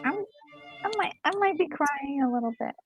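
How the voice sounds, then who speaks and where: High, animated, gushing woman co-host. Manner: gushing, short drawn-out interjections. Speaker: gaming channel co-host. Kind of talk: casual reaction commentary. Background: game music.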